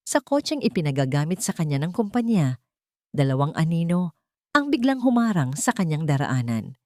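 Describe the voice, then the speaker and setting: A woman's voice: fairly low pitch, a little mellow, no room sound, studio quality. A Filipino woman reading a scripted dramatic story as its narrator.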